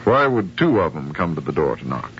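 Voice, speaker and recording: An actor playing a shrewd, insistent sheriff who questions deliberately and politely, in a 1950s radio drama. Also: male voice, very deep voice, very animated, fairly bright tone, exaggerated voice. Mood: calm, sly. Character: probing, shrewd male sheriff hunting runaway slaves. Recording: muffled, noisy 1950s radio recording.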